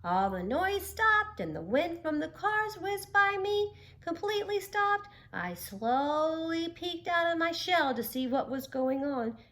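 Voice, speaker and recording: A woman's voice: extremely high pitch, very animated, a little slow, hardly any pauses, a bit bright, pitched above the performer's own voice, exaggerated voice. Timmy, a woman voicing a small scared boy turtle. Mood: eager, telling.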